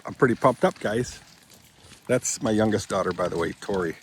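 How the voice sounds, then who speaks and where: A man's voice: on the low side, normal speed, slight background noise. Rambling man in his seventies, chatting outdoors. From Michigan, USA. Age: 70s. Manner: chatty, wandering, corrects himself often. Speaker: vlogger. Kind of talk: rambling casual vlog chat. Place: outdoors on rural land.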